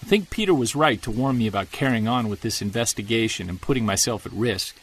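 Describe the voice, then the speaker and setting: An actor playing a weary middle-aged Bigfoot investigator, narrating an audio diary in an earnest, confessional way. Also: male voice, medium pitch, quick pace, slightly rough, close to an everyday voice, rough recording. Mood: troubled. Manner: confessional, earnest, quietly troubled. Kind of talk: scripted audio drama narration.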